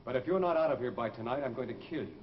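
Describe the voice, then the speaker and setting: An actor playing a haunted, guilt-ridden gentleman losing his mind, intense and theatrical in old radio horror style. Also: mid-range voice, racing, slight background noise, close to an everyday voice. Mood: cold, threatening. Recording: muffled old radio recording, loud music behind.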